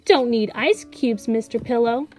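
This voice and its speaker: Lady doing mama cat from a kids book, high voice, teasing and loving, bit of music behind. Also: expressive, measured pace, a little mellow. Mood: coaxing, chiding. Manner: coaxing, teasing pet names. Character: brisk affectionate mama cat coaxing her kittens.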